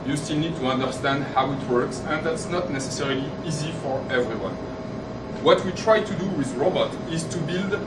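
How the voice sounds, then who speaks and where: A man from France with a French accent, presenting at a developer meetup, talking fast and halting with many restarts. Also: on the high side, heavy background noise. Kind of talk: live conference talk. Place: meeting room. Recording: echoey room, far from the mic, loud air conditioning.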